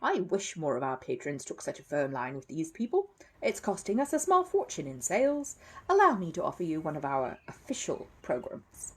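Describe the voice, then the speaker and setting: A woman's voice: sits low, wildly swooping pitch, normal speed, husky, exaggerated voice. Woman playing a pompous male theatre official. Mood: approving. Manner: pompous, courteous.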